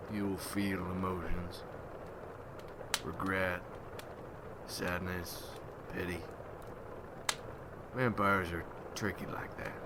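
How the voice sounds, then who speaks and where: A man playing a gruff, hardened vampire hunter in an ASMR roleplay, speaking in folksy rustic slang as he mentors a newcomer. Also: deep voice, heavy background noise. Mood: grave.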